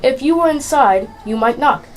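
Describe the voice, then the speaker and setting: A woman's voice: sits high, animated, normal speed. Female amateur as a stubborn servant, noisy recording. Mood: indifferent. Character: stubborn, illogical, unhelpful doorkeeping servant. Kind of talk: amateur radio play acting. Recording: loud background noise.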